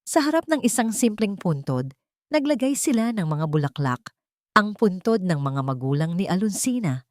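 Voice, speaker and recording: A woman narrating a scripted Tagalog romance story in a measured, gently emotive reading. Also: medium pitch, big pitch swings, no room sound, clean studio sound.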